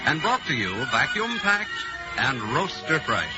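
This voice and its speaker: A man announcing in old-time radio style, with a lively, swooping pitch and a slightly raised voice. The sound is dull and lo-fi, with loud music behind. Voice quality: smooth, measured announcer delivery. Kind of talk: scripted radio commercial.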